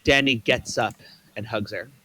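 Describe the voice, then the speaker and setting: A man reading the stage directions aloud at a table read in a plain, even way. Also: mid-range voice, moderate pace.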